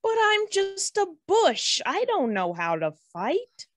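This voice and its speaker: A small, anxious talking bush from a fantasy cartoon, played by a woman. The voice is high and swings up and down a lot. It speaks slowly and hesitantly, pleading like a nervous child. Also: huge pitch swings, a bit bright, no room sound, studio quality, heavily acted. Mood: reluctant. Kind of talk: animated fantasy table read acting.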